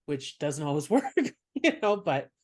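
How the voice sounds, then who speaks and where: Soft-voiced man, a comedian guest. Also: fairly high pitch, sing-song, measured pace. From Canada. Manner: reflective, explains at length. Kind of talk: casual interview chat.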